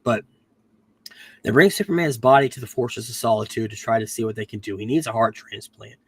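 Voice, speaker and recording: A man recapping a TV series on a casual podcast, his voice worn from a cold he is getting over. He talks in a rambling way, filling gaps with ums and correcting himself as he goes. His pitch sits in an ordinary middle range. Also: brisk, breathy edge. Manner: rambling, self-correcting, frequent "um"s. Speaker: podcast host reviewing a TV series.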